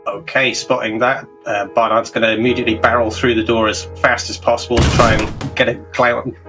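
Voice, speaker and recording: A man plays a tabletop roleplaying game in a bright, clear-cut voice of medium pitch. He casually lays out his character's actions and asks inquisitive questions. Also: little pitch movement, fairly quick, soft background music.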